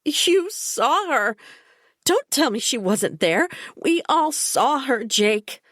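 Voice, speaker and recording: A distraught young woman pleads and insists in a raised, strained voice, voiced by a woman reading the story. Her voice sits above a reader's usual low register. The recording is close and dry. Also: animated, unhurried, no room sound. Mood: pleading.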